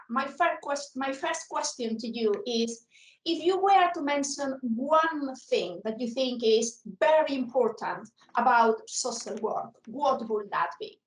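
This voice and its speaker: High-voiced woman chairing, echoey. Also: slow pace, far from the mic. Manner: hesitant, with frequent fillers. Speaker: session chair. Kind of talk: moderating a video panel. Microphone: video call. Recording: roomy echo, away from the mic.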